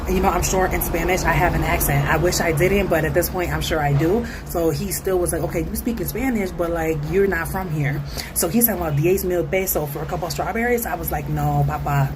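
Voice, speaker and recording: An American woman with a low voice speaks in a casual vlog monologue. Her delivery is informal and slangy, like everyday conversation. The recording has clear ambient noise behind her. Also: brisk. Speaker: vlogger.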